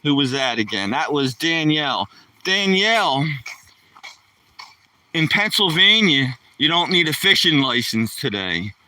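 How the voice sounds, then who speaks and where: Folksy 60s male streamer, slangy rambler, bright tense voice on a phone mic that drifts off now and then. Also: on the high side, sing-song, a little slow, recorded from a distance. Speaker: live streamer. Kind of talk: casual live-stream chat while walking his dog. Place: outdoors on a neighborhood walk. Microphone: handheld phone.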